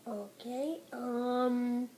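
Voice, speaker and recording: A woman's voice: on the high side, steady tone, drawn-out words, dark tone, slight background noise. A female interviewer reading out prepared questions in a plain, hesitant way. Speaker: interviewer for a school project.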